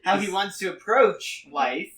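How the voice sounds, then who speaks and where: A man's voice: high voice, expressive, measured pace, a bit bright. A man playing a tabletop role-playing game, narrating his character in formal, hesitant, wry phrasing. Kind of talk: narrating and voicing own character in role-play. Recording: slightly distant mic.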